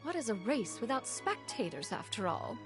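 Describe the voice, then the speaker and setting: An ancient crane spirit who talks of herself as "one", pompous and grand in old-fashioned phrasing. Her voice swoops up and down with lively inflection, with soft game music behind. She sounds lofty and sure of her own brilliance. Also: fairly high pitch, moderate pace. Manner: archaic and formal, refers to herself as "one", pompous. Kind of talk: game cutscene dialogue.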